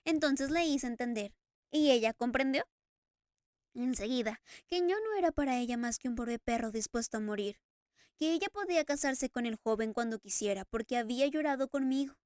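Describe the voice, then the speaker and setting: Storybook figure: tearful masked man, fervent and stammering, pitched high and dry, put on by a woman reader. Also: lively intonation, normal speed, darkish tone, no echo. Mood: mournful. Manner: impassioned, stammering, sobbing outbursts. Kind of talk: audiobook character reading.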